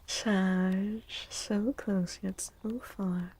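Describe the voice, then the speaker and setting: A woman streams in a hushed, breathy ASMR voice that often slips toward a whisper. Her tone is mellow and creaky at the edges, and she takes her time. She chats gently, with a playful, theatrical way of drawing out words. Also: medium pitch, fairly even intonation, very slow. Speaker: streamer hosting a tarot and astrology stream. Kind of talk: soft-spoken ASMR, casual live stream chat.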